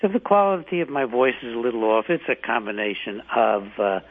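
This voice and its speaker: An older man hosting a radio show from home, hoarse from the flu, rambling with fillers and restarts. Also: medium pitch, a little fast. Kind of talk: casual talk radio monologue. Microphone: home setup, not his usual studio mic. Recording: tinny phone-like line.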